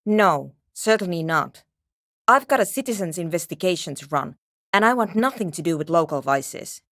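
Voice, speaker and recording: Curt, low-voiced woman programmer. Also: expressive, moderate pace, fairly bright tone, no echo. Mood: firm, disapproving. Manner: brusque, dismissive, dry. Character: curt, skeptical, world-weary woman programmer absorbed in research. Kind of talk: video game character dialogue.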